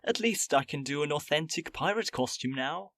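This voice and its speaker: An actor playing a weary but gentle male ex-agent in an audio drama, speaking in an understated, measured way. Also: a little high, expressive, no room sound, clean studio sound. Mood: gentle, apologetic.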